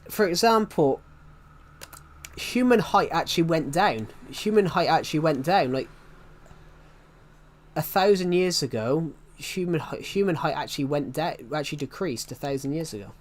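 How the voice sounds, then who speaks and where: A man's voice: a little high, lively intonation, moderate pace. Rambling young man who repeats himself. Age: 20s. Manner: rambling, repeats each phrase before going on. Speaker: streamer. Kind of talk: rambling casual livestream chat.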